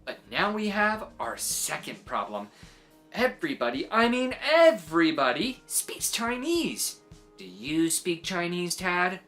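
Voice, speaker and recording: A woman voicing an eccentric, excitable storybook aunt who tells tall tales with dramatic emphasis. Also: on the low side, soft background music, heavily acted. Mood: brisk, emphatic. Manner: dramatic, emphatic, drawn-out delivery. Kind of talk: storybook character voice for children.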